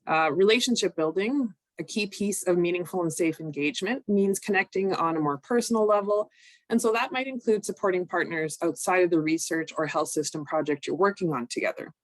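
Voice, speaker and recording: A woman, recovering from a cold, presenting an online training session in a measured, explanatory way with frequent ums. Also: lowish voice, dry recording, rough recording. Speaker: workshop presenter.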